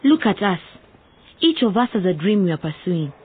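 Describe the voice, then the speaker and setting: An actress playing an earnest, determined teenage daughter in a radio drama. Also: female voice, lowish voice, very animated, normal speed, slightly rough, narrow, tinny audio, full character voice. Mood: persuasive. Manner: earnest, speech-like persuasion. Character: earnest, determined nineteen-year-old daughter with political ambitions.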